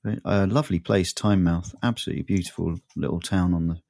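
A man's voice: low-pitched, steady tone, fast, gravelly, darkish tone, no room sound, studio quality. A man with a sore, throaty voice, chatting casually as a podcast co-host, rambling and often laughing. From southern England. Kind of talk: casual chat.

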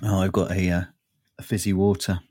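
A man's voice: deep voice, little pitch movement, brisk, mellow, slightly rough, dry recording. A man with a sore, throaty voice, chatting casually as a podcast co-host, rambling and often laughing. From southern England. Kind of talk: casual chat.

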